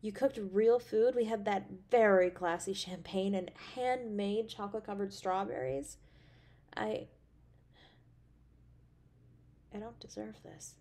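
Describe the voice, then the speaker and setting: A woman acts out an affectionate girlfriend in an intimate romantic audio drama. Her voice sits at a middling pitch for a woman. She speaks tenderly and expressively, with an anxious streak and a playful, teasing side. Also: moderate pace, creaky, natural voice. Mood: soft, grateful. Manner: tender, expressive, with sighs and soft chuckles. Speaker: voice actor in an audio roleplay.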